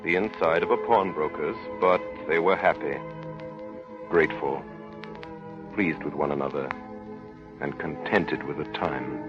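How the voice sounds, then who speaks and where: A man playing a solemn literary storyteller, narrating a 1930s radio drama in a measured, portentous way. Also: extremely low pitch. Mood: tender, hushed. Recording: muffled old radio broadcast. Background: loud music.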